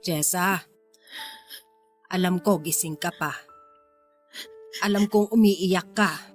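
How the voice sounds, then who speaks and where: A woman playing a worried, kindly older mother in a radio drama, plaintive and gently scolding. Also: on the low side, slow pace. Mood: gently insistent. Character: worried, kindly but powerless elderly mother figure. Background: music.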